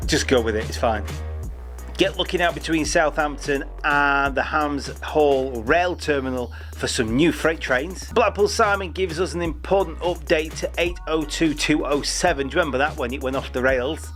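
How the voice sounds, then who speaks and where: A man's voice: fairly high pitch, normal speed, a bit bright, soft background music. Chatty man hosting a news roundup. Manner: chatty, jokey, stumbles over names. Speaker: host of a railway news show. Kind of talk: upbeat news roundup presenting.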